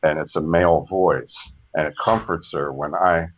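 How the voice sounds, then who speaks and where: Deep-voiced older man, muffled. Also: fairly even intonation. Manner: slow, measured storytelling with frequent restarts. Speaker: guest. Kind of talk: casual podcast conversation. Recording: dull lo-fi audio.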